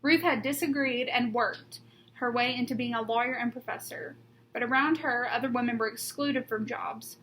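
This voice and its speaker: A woman reading a children's picture book aloud like a teacher, with deliberate emphasis on key words. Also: fairly high pitch, a bit bright, low-quality recording. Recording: noticeable background noise.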